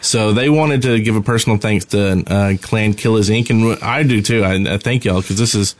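A man's voice: on the low side, normal speed. A man co-hosting a podcast in casual, conversational chat. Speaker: podcast co-host.

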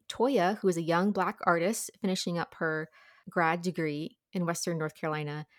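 A woman's voice: on the low side, dry recording, studio quality. A woman talking through a book conversationally as a guest reviewer on a podcast.

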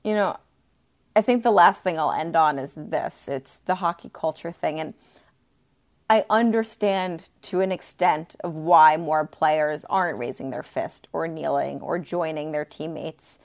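A woman co-hosting a sports podcast, chatty and expressive, with playful asides. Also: low-pitched, measured pace, gravelly. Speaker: podcast co-host. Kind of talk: casual sports talk.

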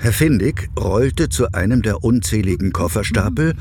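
A man's voice: fairly low pitch, expressive, normal speed, background noise. A man narrating a scripted children's story as a dramatic storyteller.

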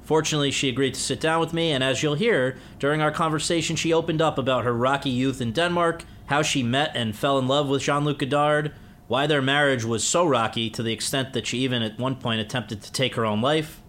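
A man's voice: mid-range voice, steady tone, brisk, fairly bright tone. A man hosting an interview show, speaking in an articulate, courteous way. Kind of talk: scripted introduction, then polite interview.

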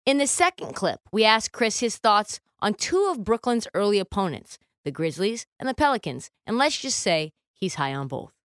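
A woman's voice: mid-range voice, big pitch swings, normal speed, few pauses, dry recording, clean studio sound. A woman hosting a sports show, speaking in a casual, punchy, irreverent way. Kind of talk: casual scripted narration and interviewing.

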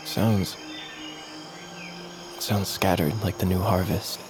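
A haunted, grieving grandson with a low voice. He speaks in halting, earnest phrases, in a fantasy audio drama with music running clearly beneath him. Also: flat delivery. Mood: uneasy.

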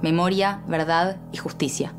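Low, flat young woman over music. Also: unhurried. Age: 20s. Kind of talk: testimonial video voice-over. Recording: music bed underneath.

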